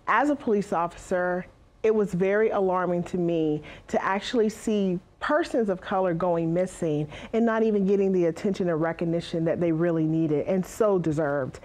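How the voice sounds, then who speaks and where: Earnest woman, lowish voice, studio. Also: darkish tone. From South Carolina. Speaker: missing persons advocate, former police officer. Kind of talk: direct-to-camera personal talk. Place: studio stage.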